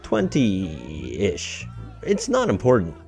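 A man's voice: low-pitched, huge pitch swings, takes it slowly, darkish tone, soft background music, full character voice. A man playing a genial, urbane married theater man in an audio drama, with a wry manner. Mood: uneasy, placating.

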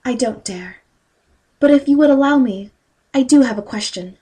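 Soft, husky, high-voiced timid girl played by a woman. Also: normal speed. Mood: meek, polite. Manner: meek, polite delivery. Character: timid, dutiful young girl servant in fairy tale. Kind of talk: fairy-tale audio drama acting.

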